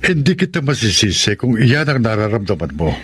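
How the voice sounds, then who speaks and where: Low, expressive, long-suffering Filipino father. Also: fairly quick, a little hiss or hum. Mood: pleading. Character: patient, earnest middle-aged father in love, long-suffering. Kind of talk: Filipino radio drama acting. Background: prominent music.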